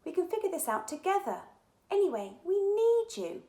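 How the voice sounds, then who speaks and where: A woman puts on an eager young schoolgirl for a storybook, excitable and caring. The voice jumps up high and swoops about. There is a noticeable room echo. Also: moderate pace. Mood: caring, encouraging. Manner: eager, encouraging. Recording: echoey room.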